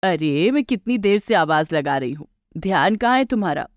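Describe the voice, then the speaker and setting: A woman's voice: lowish voice, animated, moderate pace, no room sound, close to an everyday voice. A woman voicing a friendly older neighbour in a moral story, chatty, proud and teasing in a familiar way. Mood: chiding.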